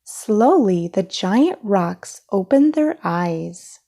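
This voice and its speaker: Unhurried, mellow woman reading a storybook. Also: mid-range voice, lively intonation, creaky. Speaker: storybook reader. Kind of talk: read-aloud children's story narration.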